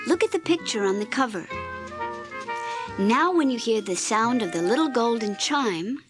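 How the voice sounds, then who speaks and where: A woman reading a scripted children's read-along story in an expressive, deliberate storytelling manner. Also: fairly high pitch, over loud music. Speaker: storybook narrator.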